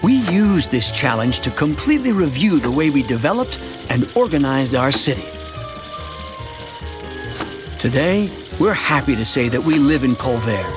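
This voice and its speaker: Cartoon narrator, played by a man: contented male townsman, conversational first-person storytelling, very varied pitch, music underneath. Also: sing-song, normal speed, close to an everyday voice. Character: contented everyman townsman telling his city's story.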